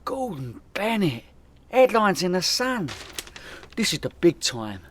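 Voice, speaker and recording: An actor playing a hopeless, boastful petty crook with a London accent in a comedy radio play. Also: male voice, sits high, big pitch swings, unhurried, fairly bright tone, a little hiss or hum, exaggerated voice. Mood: excited, playful.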